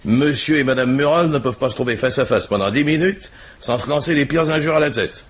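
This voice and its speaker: A man's voice: medium pitch, fairly quick, breathy edge, natural voice. An actor playing a businesslike police inspector who reports to his boss in colloquial French, in a 1950s-style radio mystery. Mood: matter-of-fact.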